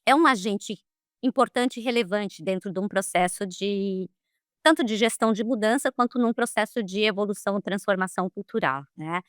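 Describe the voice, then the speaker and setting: A Brazilian woman of middle age, a guest speaking in a casual interview, lively and quick to laugh, often ending phrases with "né?". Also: medium pitch, no room sound, clean studio sound. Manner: lively, animated, quick to laugh, frequent "né?" tags. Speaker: guest, HR leader.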